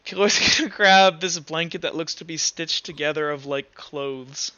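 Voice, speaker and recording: A man narrating a tabletop game as game master in a casual, improvised way, informal and halting as he thinks aloud. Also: fairly high pitch, pushed and bright, smooth, no echo, muffled recording. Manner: informal, swearing, hesitant while improvising.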